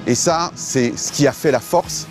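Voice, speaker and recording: A man in his fifties, an airport operator executive, speaking formally and deliberately, interviewed outdoors by an airfield. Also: mid-range voice. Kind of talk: documentary interview. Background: background music.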